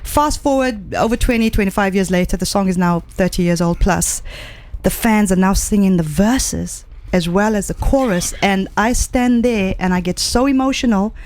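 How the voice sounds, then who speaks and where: A woman's voice: medium pitch, normal speed. Enthusiastic middle-aged woman from Zambia. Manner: warm, enthusiastic storytelling with fillers. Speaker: singer, radio guest. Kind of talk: casual interview chat. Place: radio studio. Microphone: studio microphone.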